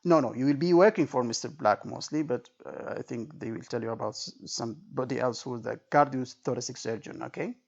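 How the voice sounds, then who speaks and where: A man teaching as an exam tutor in an informal spoken lecture, with a creaky voice, repeating points and often checking that the listener follows. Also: mid-range voice, a little fast, hardly any pauses. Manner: didactic, repetitive, checking understanding with frequent okay and right. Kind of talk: informal spoken lecture with role-played example phrases. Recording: dull lo-fi recording.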